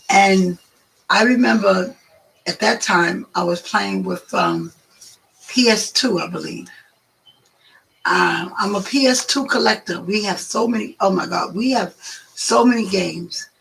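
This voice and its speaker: A hoarse woman telling stories in a chatty, rambling way as a guest on her son's video. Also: mid-range voice, a little slow, long pauses, fairly bright tone, low-quality recording. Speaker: guest, host's mother. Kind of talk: casual storytelling chat.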